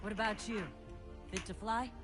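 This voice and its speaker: An actress playing a confident, wry woman pilot who leads survivors in a video game cutscene, with a brisk, commanding manner. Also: mid-range voice, fairly even intonation, over music, lightly acted.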